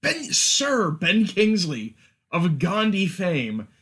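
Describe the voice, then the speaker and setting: A man on a podcast panel chatting casually about films in a halting, wry manner. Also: high voice, wildly swooping pitch, a bit bright. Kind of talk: casual film chat.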